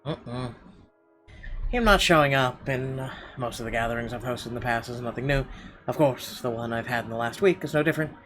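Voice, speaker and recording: A man's voice: medium pitch, a little fast, fairly bright tone. A man doing casual gameplay commentary, reading story narration aloud and dropping blunt, slangy reactions. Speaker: let's player reading and reacting to a visual novel. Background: game music.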